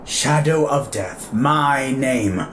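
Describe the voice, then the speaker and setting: An actor playing a vain, menacing alien warlord in a sci-fi audio drama, with a musing, theatrical and grandiloquent manner. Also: male voice, mid-range voice, lively intonation, extremely slow, fairly bright tone, a little hiss or hum. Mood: smug reverence. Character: menacing, vain alien warlord who savours ancient human texts. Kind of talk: audio drama villain acting.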